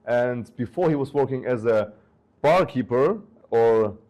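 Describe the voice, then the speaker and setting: A man's voice: medium pitch, animated, unhurried, highs cut off. A young man hosting an interview, asking questions with frequent restarts. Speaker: host, interviewer.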